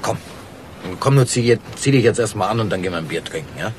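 A man's voice: fairly low pitch, expressive, quick pace, close to an everyday voice. An actor playing a placating, defensive male workmate in a radio crime drama. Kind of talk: naturalistic 1980s German radio crime drama acting. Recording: faint noise and ambience.

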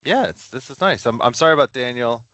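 A man from the United States chatting casually as an interview guest, halting and unassuming. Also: medium pitch, animated, sharp tone, no echo. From Buffalo, United States. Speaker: interview guest, record label co-founder. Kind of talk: casual chat.

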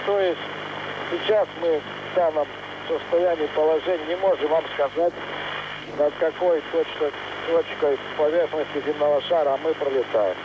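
A man speaks from a space station cabin through a headset, pausing as he searches for his words. His voice sits high and reaches the listener muffled, under a thick hiss of static. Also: steady tone. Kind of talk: live answers from orbit. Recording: muffled noisy space link.

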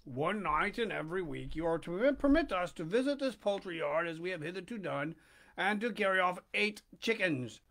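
A man voicing a scheming male polecat, speaking low and wheedling in a read-aloud storybook. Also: animated, normal speed, fairly bright tone.

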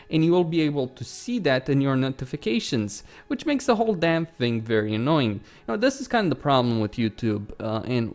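A man with a Romanian accent, a gaming YouTuber talking bluntly and swearing freely in casual commentary over gameplay. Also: mid-range voice.

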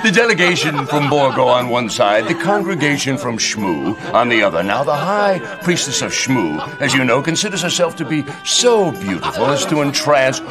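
A man's voice: medium pitch, very animated, natural voice. Man playing a pompous, swooping royal chancellor. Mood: grandly amused. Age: middle-aged. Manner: pompous, florid, drawn-out delivery. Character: haughty, scheming royal high chancellor, a storytelling courtier. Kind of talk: theatrical storytelling in a live-action game cutscene. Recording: loud music and banquet crowd behind.

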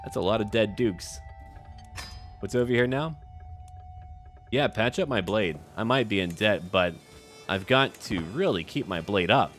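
A man with a low, deep voice commentates his strategy game as he plays. He thinks aloud in short, clipped remarks, speaking as the ruler he controls. Music plays behind his voice. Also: expressive, measured pace, pauses often, fairly bright tone. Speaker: gaming video host.

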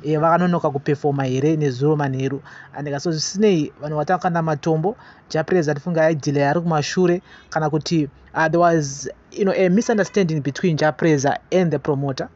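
A Zimbabwean man presents news reaction commentary in a fairly high voice. His delivery is halting, with repeated words. The recording is dull and lo-fi. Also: fairly even intonation, a little mellow. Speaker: online gossip channel host. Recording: dull, lo-fi voice-over.

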